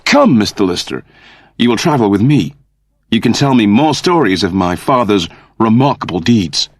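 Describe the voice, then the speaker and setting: A grave rebel commander performed by a male reader, solemn and declamatory. He speaks formally and with intensity, pitched a little up from the narrator's low voice, in a very dry science fiction audiobook. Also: very animated, moderate pace, dry recording.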